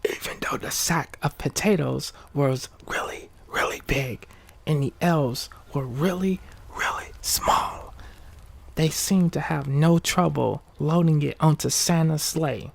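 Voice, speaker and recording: A man hosting an ASMR bedtime story, speaking softly and often whispering, with a relaxed, informal manner. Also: on the high side, slow, gravelly. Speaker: ASMR video host and storyteller. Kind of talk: ASMR bedtime story reading, casual asides.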